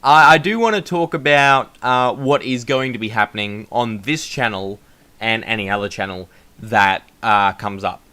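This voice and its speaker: Loud, bright-voiced young man. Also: fairly low pitch, normal speed, clear, resonant tone. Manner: loud, projecting voice; frequent fillers and restarts, laughs at himself. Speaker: podcast host. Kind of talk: casual chat.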